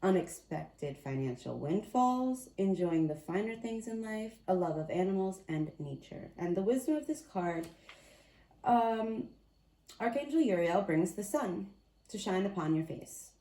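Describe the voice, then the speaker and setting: A woman with a slightly raspy voice giving a casual tarot card reading, chatty and often thinking aloud as she corrects herself. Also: medium pitch, expressive, unhurried, mellow, roomy sound, low-quality recording.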